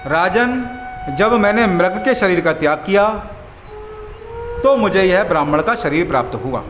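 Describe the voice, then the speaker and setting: A man voicing a serene, humble enlightened sage in a devotional story, teaching in a solemn, deliberate manner. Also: high voice, expressive, fairly bright tone, a little hiss or hum. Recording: echoey voice over music.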